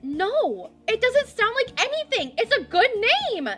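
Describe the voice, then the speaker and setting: A woman's voice: extremely high pitch, sing-song, unhurried, soft background music, heavily acted. A woman playing a brash, self-important bandit leader in a fantasy audio drama, boasting theatrically. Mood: defensive. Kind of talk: fantasy audio roleplay acting.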